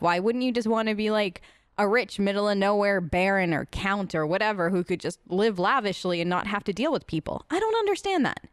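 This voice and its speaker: A woman's voice: mid-range voice, no room sound. A woman hosting a gaming video, improvising in-character replies in a sarcastic, conversational way on a close mic. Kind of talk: improvised in-character role-play let's play.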